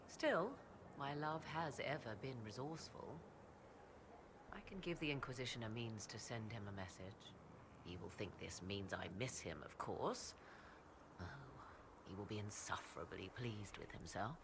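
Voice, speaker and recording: An aloof witch from a fantasy game. She speaks in stately, archaic phrasing with dry disdain. Her voice carries a gravelly fry, and clear background noise sits under the game audio. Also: a bass voice. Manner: formal, archaic diction, haughty and dry. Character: proud, aloof female witch and mother. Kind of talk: video game cutscene dialogue.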